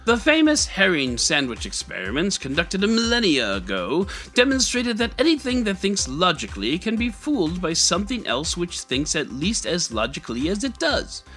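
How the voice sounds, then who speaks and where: A man's voice: fairly high pitch, sharp tone, exaggerated voice. A man putting on an English accent as a pompous, droll storyteller giving a dramatic reading of comic prose. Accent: put-on English. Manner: theatrical, droll.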